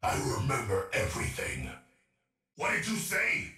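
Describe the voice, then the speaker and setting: A paranoid, undead cyborg killer from a video game. The robotic voice is sharp and slow, lurching between menacing mutters and unhinged outbursts. It sounds echoey, with music behind it. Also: male voice, medium pitch, wildly swooping pitch, distant mic, full character voice. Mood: paranoid, agitated. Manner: clipped, unhinged muttering swinging into shouts. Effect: robotic voice filter.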